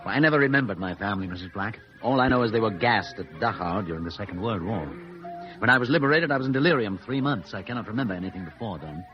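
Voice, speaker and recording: A man acting a reserved scientist doctor from a 1950s radio play. His phrasing is formal and unemotional, though his pitch swings a great deal. The sound is dull, like an old broadcast. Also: lively intonation, quick pace, music in the background, lightly acted. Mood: detached, guarded. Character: reserved, detached scientist doctor, survivor of wartime camps.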